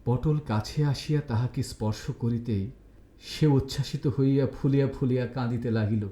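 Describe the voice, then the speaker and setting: A man reading a literary story aloud as narrator, in a measured, dramatic storytelling manner. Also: mid-range voice, fairly even intonation, dark tone, echoey room.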